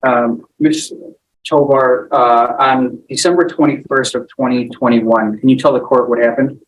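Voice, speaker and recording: A man's voice: medium pitch, fairly even intonation, measured pace, a little hiss or hum, low-quality recording. A man questioning a witness in court as prosecutor, hesitant with many fillers.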